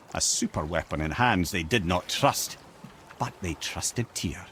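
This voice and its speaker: An actor playing a genial, learned old sage in a video game, telling tales eloquently with a Scottish accent. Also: male voice, mid-range voice, slight background noise. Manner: eloquent, expressive storyteller. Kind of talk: dramatic video game storytelling.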